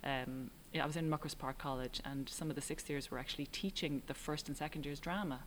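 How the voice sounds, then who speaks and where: A middle-aged Irish woman, a writer and director, answering interview questions in a thoughtful, halting way with frequent ums. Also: deep voice, slight background noise, low-quality recording. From Ireland. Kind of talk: relaxed reflective interview answers.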